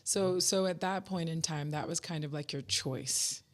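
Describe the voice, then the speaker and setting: A woman's voice: sits low, moderate pace. A woman hosting a school podcast, asking questions and encouragingly summing up her guest's answers. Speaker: podcast host, educator. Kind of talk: podcast interview.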